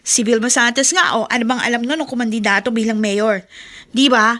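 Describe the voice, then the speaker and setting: High-voiced coaxing young daughter. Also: female voice, fairly even intonation, a little fast, bright, forceful tone. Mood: coaxing, insistent. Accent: Filipino. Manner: coaxing, insistent. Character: pushy, confident young daughter of a mayor. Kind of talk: Filipino radio drama acting.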